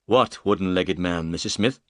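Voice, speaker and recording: A man's voice: sits low, normal speed, no room sound, clean studio sound, pitched below the performer's own voice, natural voice. A man voicing a composed, courteous, shrewd detective in an audiobook story, polite and confident. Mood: polite, inquiring.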